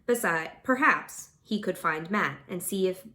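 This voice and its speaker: A woman reading a fantasy novel aloud as a volunteer narrator, expressive and informal, with the odd stumble. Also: on the low side, a little slow. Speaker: audiobook-style volunteer reader.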